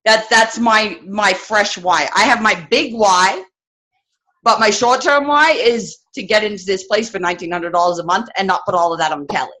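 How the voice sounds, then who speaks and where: A woman's voice: mid-range voice, moderate pace, sharp tone. A woman on a sales team speaking frankly and determinedly in a casual chat. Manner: frank, plain-spoken, determined.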